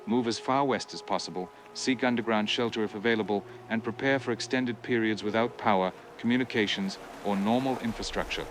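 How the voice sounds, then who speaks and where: A man's voice: lowish voice, a little fast, few pauses, a little hiss or hum, natural voice. A man playing a grave scientist giving a formal, academic explanation in a TV news interview. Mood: grave, urgent. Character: grave male scientist explaining a catastrophic asteroid miscalculation. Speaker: expert interviewee on a TV news broadcast.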